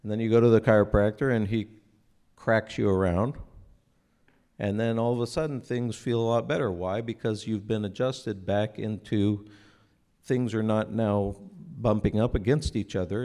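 A man in his forties, raised in Israel, giving an earnest, unhurried talk into a stand microphone on a church stage, often restarting his phrases. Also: lowish voice, fairly even intonation, dark tone. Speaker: speaker at a church breakfast. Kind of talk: sermon-like talk to an audience.

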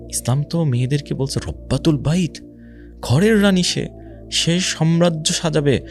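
A man's voice: medium pitch, a little mellow. A man with a Bangladeshi accent giving a religious social commentary talk, speaking emphatically with rhetorical questions and some English words mixed in. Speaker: Islamic speaker. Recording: music behind the voice.

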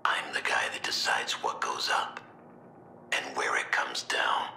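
An actor playing a gruff, hostile military officer in a video game, gravelly, menacing and scornful. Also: male voice, very deep voice, monotone, a little slow, bright, forceful tone, reverberant, whispered, heavily acted. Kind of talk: dramatic video game voice acting.

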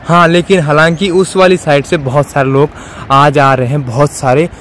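Somewhat high male voice, twenties, casual travel vlog, phone at arm's length, faint outdoor background noise. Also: fairly even intonation, normal speed, fairly bright tone. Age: 20s. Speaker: travel vlogger. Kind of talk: casual vlog chat to camera. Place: outdoors on a forested mountain trail.

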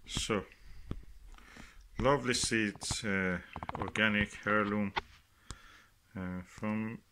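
Slow, low-voiced man on a noisy recording. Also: steady tone. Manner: halting, pausing between short phrases. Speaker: gardening vlogger. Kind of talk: casual unscripted commentary while showing items. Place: indoors at a work table. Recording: noisy home recording with clicks and rustling.

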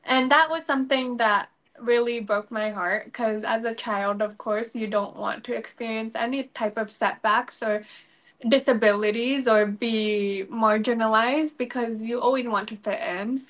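A young woman answers interview questions in an earnest, polished way. Her voice sits a little high. She talks from a room over a video call. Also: fairly even intonation, a little slow, a bit bright. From United States. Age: 20s. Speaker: pageant candidate, guest. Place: indoor room.

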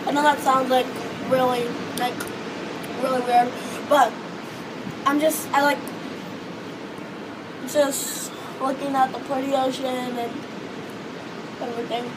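Hoarse teenage girl vlogging on a windy balcony. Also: sits high, level tone, takes it slowly, long pauses. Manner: chatty, rambling with many fillers. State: hoarse, losing her voice. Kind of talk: casual vlog chat. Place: outdoor hotel balcony above a beach. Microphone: phone or webcam close in front. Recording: wind and wave noise outdoors. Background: ocean waves and beach noise.

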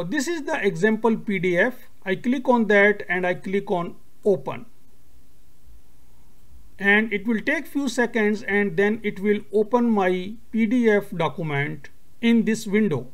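A man whose first language is Urdu, hosting a screen-recorded tutorial and explaining each step in a steady, methodical way. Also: high-pitched. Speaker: tutorial host.

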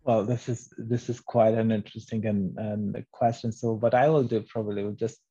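A middle-aged man from Afghanistan, a native Farsi speaker, soft-spoken and halting as he gives reflective interview answers. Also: fairly low pitch, fairly even intonation, husky. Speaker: interview guest, children's author. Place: small book-lined room at home. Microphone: video call, computer microphone. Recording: muffled, band-limited video call audio.

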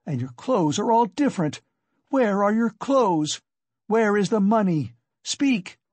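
A man's voice: high-pitched, very animated, a little slow, darkish tone, no room sound, pitched above the performer's own voice, full character voice. A man voicing a frenzied, despairing poor wife and mother in an audiobook, crying out accusingly. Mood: furious, accusing. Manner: shrieking, accusing, exclamatory. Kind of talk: dramatic audiobook character acting.

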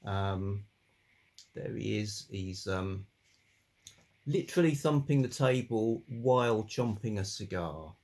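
Brief: older book vlogger, calm and meandering, low voice, husky, at his desk on a webcam. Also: slow. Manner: calm, rambling with many fillers. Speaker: book channel host. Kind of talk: casual chat about old books. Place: home study lined with bookshelves.

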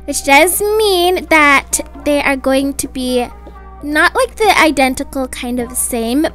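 A young woman acting out a children's roleplay story in a gentle, reassuring, sing-song way. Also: high voice, animated, slow pace, faint music behind. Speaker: video creator voicing characters.

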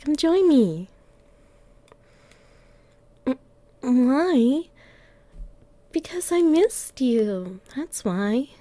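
A woman's voice: high voice, big pitch swings, no echo, full character voice. A woman voicing a playful, clingy young catgirl girlfriend in an ASMR roleplay, affectionate and cooing, close to the mic. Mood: cosy, affectionate. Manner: soft, affectionate, slow with long pauses, cooing.

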